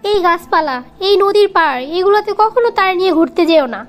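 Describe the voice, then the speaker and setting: A woman's voice: very high-pitched, lively intonation, fairly quick, faint music behind. A woman with a Bangladeshi accent voicing a reproachful, sulky young girlfriend in a cartoon comedy. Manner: reproachful, nagging.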